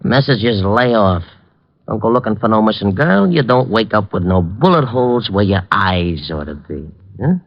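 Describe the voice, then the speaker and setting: A man's voice: fairly low pitch, expressive, moderate pace. An actor playing a thuggish, mocking hoodlum in slangy tough-guy talk, in 1940s radio acting style. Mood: mocking menace. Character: ugly thuggish hoodlum messenger, menacing and mocking. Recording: muffled vintage radio recording.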